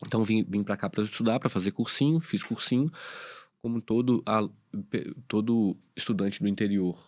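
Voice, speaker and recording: A man's voice: on the low side, normal speed, darkish tone, no echo, clean studio sound. A Brazilian man, a podcast co-host and internist, telling his story in casual chat with many fillers and restarts.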